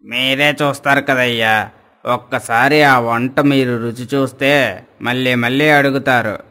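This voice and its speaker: A man's voice: mid-range voice, steady tone, a little slow, bright, forceful tone, clean tone. A man playing an obliging household cook in a cartoon story, speaking respectfully to his master. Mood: eager, grateful. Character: deferential male household cook, eager to please his master.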